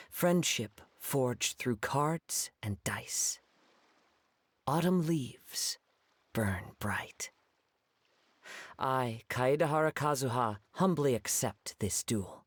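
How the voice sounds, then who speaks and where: A man's voice: mid-range voice, expressive, very slow, pauses often, slightly rough, heavily acted. A man voicing a humble, poetic young wandering samurai in a video game, polite, composed and formal. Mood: solemn, poetic.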